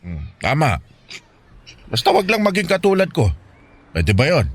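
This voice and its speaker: A man's voice: fairly high pitch, wildly swooping pitch, normal speed, husky, exaggerated voice. A man acting a poor, stern but loving Filipino guardian in an emotional radio drama. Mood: firm, encouraging. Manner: emotional, scolding and pleading in turns. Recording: music bed behind the voice.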